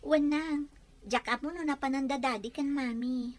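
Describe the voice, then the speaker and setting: A woman playing a polite, gentle young wife who speaks in a soothing, respectful way. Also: sits high, steady tone, moderate pace, no echo, natural voice.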